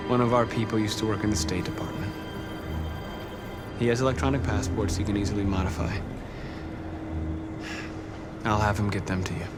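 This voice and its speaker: An actor playing a calm, serious male android rebel leader in a video game, composed and direct. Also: fairly low pitch, normal speed, long pauses, loud music behind the voice, close to an everyday voice. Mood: calm, guarded. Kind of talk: dramatic video game acting.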